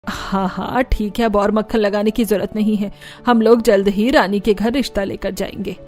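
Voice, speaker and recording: A woman's voice: medium pitch, steady tone, moderate pace, darkish tone, lightly acted. A woman voicing a bossy middle-aged mother-in-law in a cartoon family story, with a stern, commanding manner. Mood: indulgent. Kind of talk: animated family story dialogue.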